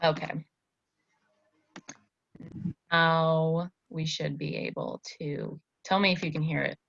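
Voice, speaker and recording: A woman's voice: low voice, steady tone, slow, vocal fry, a bit bright, lo-fi sound. A woman teacher leading an online lesson, reading to pupils and talking with them over a video call.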